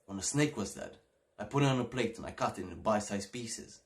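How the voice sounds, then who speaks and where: A man performing a theatrical monologue as a disturbed, childlike young man, halting and swinging between hushed and excited. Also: fairly low pitch. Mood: gleeful, morbid.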